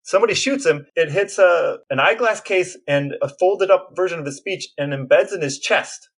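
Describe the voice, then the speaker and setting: A man co-hosting a podcast, telling historical anecdotes in a casual, animated storytelling manner. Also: high-pitched, moderate pace. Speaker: podcast co-host.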